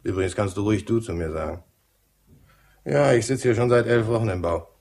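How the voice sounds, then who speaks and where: An actor playing a cynical, gruff prison cellmate in a radio drama, with a colloquial, mocking, know-it-all manner. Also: male voice, fairly low pitch, a little fast, darkish tone. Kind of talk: German radio drama acting.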